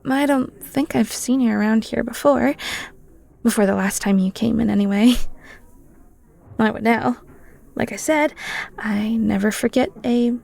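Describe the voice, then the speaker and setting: A woman's voice: on the high side, a little slow, vocal fry, long pauses, a little mellow. A woman playing a playful, flirty cheesemonger in an intimate, teasing audio roleplay. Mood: chatty, teasing. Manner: bubbly, teasing, giggly, breaks into near-whispers.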